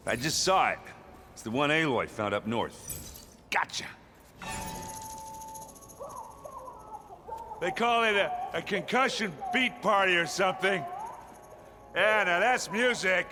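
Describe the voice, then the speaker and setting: A man's voice: sits high, very animated, unhurried, big-room sound, over music. An actor playing a big, cheerful and clumsy male warrior in a video game, hearty and joking. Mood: excited.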